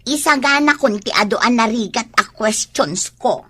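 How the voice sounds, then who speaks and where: Give me a husky young student. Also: female voice, fairly high pitch, animated, unhurried, a bit bright, exaggerated voice. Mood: surprised. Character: casual, forgetful young female student. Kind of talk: Ilocano radio drama acting.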